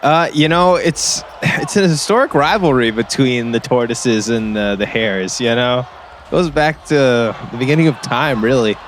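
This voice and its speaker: A man playing an earnest small-town color commentator on sports radio, rambling in a halting, repetitive, folksy way. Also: medium pitch, expressive, fairly bright tone, close to an everyday voice. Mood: chatty, hopeful. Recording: faint gym crowd and noise behind.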